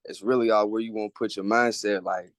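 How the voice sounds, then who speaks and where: A man's voice: fairly low pitch, fairly even intonation, a little fast, dry recording, studio quality. A man in his late twenties from Kentucky, a music artist chatting loosely with slang in an interview. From Lexington, Kentucky. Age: 20s. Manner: loose, slangy, laughs easily. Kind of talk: casual interview chat.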